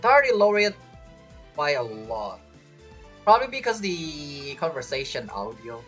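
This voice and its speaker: A man's voice: on the high side, sing-song, moderate pace, a bit bright. A man giving casual, musing gameplay commentary as a streamer, thinking aloud. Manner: rambling, musing. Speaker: game streamer. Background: faint game music.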